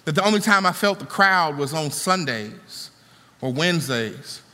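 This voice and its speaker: A man preaching a sermon to a congregation, swinging from soaring lines to low, conversational asides. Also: lively intonation, measured pace, pushed and bright. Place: church auditorium. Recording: long hall reverb.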